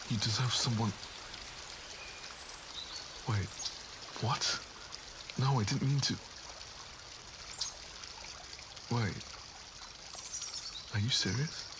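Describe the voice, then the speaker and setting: Casting: man voicing a lowish, formal young noble, earnest and heartsick, clear water ambience behind. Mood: hurt. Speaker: voice actor in an audio roleplay. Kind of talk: ASMR roleplay acting. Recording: audible water and outdoor ambience noise.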